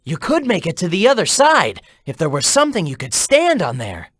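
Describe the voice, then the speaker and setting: Cheeky teen hedgehog hero for a game, bouncy voice, dead dry studio sound. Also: male voice, high voice, normal speed, dry recording, heavily acted. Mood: upbeat. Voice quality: youthful, bright, energetic. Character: cocky teen male hedgehog hero, upbeat. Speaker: video game character voice clips. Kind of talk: game voice acting, mission hints. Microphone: dry studio mic.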